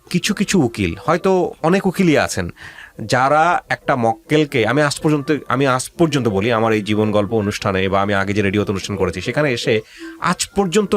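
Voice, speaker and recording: A male radio host with a Bangladeshi accent, advising a guest in an insistent, questioning way and dropping in English phrases. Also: mid-range voice. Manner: mixes English phrases into speech. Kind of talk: advising a guest on a life-story show.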